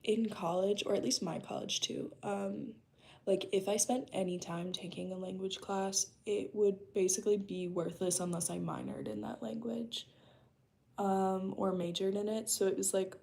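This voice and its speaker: A young woman in her early twenties talks casually to her viewers from home. Her speech is loose and rambling, full of "um" and "like," with a gravelly fry running through a voice of middling pitch. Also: steady tone, normal speed, warm tone. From United States. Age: early 20s. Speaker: vlogger chatting with her live chat. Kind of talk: casual chat while working. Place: her home, working remotely.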